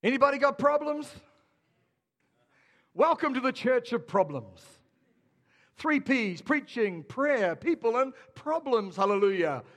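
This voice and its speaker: A man preaches to his congregation with a high, lifted voice, rousing them and calling out questions as he goes. The sound carries the long reverb of a large church hall. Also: very animated, a little slow, pauses often, fairly bright tone. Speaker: pastor. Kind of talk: sermon.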